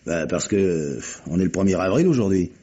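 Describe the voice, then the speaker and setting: A man's voice: sits low, lively intonation, moderate pace, over music. A man playing a cheeky, grumbling police subordinate in a 1950s French radio drama, talking in a slangy drawl. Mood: curious, wry. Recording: dull, faintly noisy vintage radio recording.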